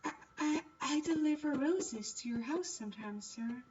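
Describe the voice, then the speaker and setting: A woman's voice: sits high, darkish tone, far from the mic, dull audio, natural voice. A woman voicing a shy, polite young flower girl who stammers, in a visual novel. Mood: timid, flustered. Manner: timid, halting, polite. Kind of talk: visual novel character reading.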